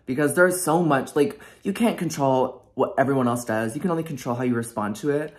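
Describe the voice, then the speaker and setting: Passionate, preachy woman with an extremely low voice. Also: brisk. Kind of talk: reunion panel chat.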